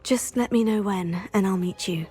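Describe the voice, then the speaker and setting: A woman playing a polite, curious, composed teenage witch in a video game, with a courteous, measured manner. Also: mid-range voice, dark tone, husky, lightly acted. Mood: sympathetic. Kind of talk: video game cutscene acting. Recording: music behind the voice.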